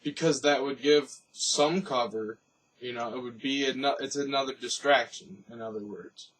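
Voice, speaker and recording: A man's voice: medium pitch, moderate pace, fairly bright tone, distant mic, poor audio. A man giving off-the-cuff news commentary, rambling and thinking aloud. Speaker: commentator and host.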